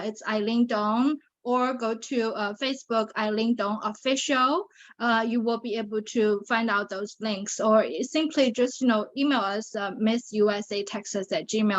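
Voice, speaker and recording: Earnest Chinese-accented woman, fairly high voice, interview guest, dull lo-fi sound. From China. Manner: earnest, many fillers and restarts. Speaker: guest, survivor advocate. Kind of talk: interview on a video show.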